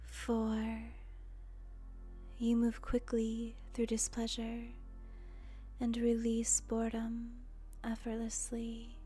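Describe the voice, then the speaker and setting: A woman leading a guided meditation in a soft, breathy, soothing voice that often trails into a near-whisper, deliberate and gentle with pauses. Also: fairly high pitch, completely flat delivery. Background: soft music.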